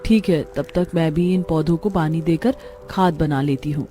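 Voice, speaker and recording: Woman acting cartoon elder sister, young, practical, hardworking, matter-of-fact, mellow, music behind. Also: sits low, normal speed, lightly acted. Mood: businesslike.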